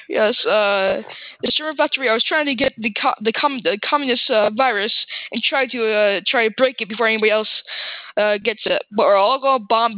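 A man's voice: extremely high pitch, dry recording. Man playing a panicky doomed factory worker. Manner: frantic, stammering, fatalistic. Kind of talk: improvised comedy scene. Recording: tinny phone-like line.

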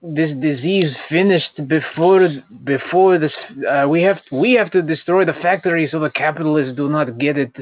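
Man playing a halting Soviet comrade. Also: fairly high pitch, lightly acted. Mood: hesitant. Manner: hesitant, halting, many uhs. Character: earnest male Soviet factory comrade. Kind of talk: improvised comedy scene. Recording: tinny phone-like line.

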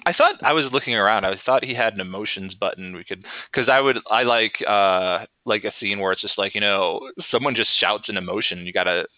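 A man hosts a casual podcast chat and rambles in an animated way, often restarting his thoughts. His voice is a little low for a man. The audio is tinny and dry, like a video call. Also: a little fast, few pauses, no echo. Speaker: podcast host, improviser.

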